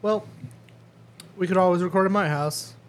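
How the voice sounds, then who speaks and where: A young man co-hosting a casual podcast in a small apartment, easygoing and swearing casually, with drawn-out phrasing. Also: high-pitched. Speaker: podcast co-host. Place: small home studio apartment.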